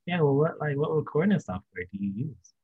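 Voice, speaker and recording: A male interviewer asks about music gear with easygoing enthusiasm, leaning on casual fillers. His voice is slightly husky and recorded dry. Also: fairly high pitch, sing-song, a little fast, no echo, narrow, tinny audio.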